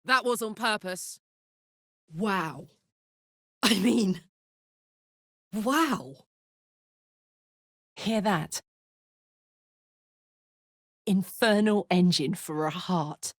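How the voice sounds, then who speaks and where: A woman's voice: mid-range voice, extremely slow, pauses often, fairly bright tone, dry recording, full character voice. Brash, big-hearted warrior woman, dry studio sound. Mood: candid, earnest. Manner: blunt, informal, emotionally open. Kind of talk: expressive fantasy video game voice acting.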